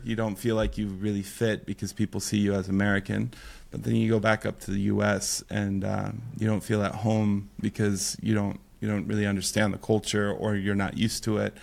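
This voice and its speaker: A man raised in Mexico, a missionary pastor, talking in a relaxed, joking way in a casual podcast conversation. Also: on the low side, vocal fry. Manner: relaxed, joking, repeats words.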